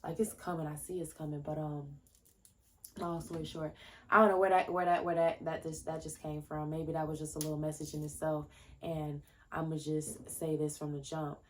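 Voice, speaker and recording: Low-voiced young woman rambling on a podcast. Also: flat delivery, normal speed, slight background noise. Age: 20s. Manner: rambling, jumps between tangents; loose, chatty, many fillers and restarts. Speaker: podcast host. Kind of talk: casual solo podcast talk. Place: at home, indoors. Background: faint fireworks popping.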